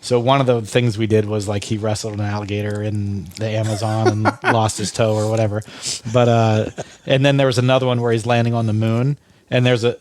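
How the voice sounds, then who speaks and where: A man hosting a podcast in casual chat, slightly stuffy and nasal, rambling through stories with many restarts. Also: mid-range voice. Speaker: podcast host.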